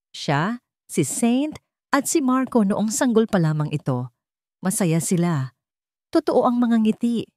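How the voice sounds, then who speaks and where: A woman with a Filipino accent narrating a scripted romance drama in a measured, emotive storytelling manner. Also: lowish voice, a little mellow, dry recording, studio quality.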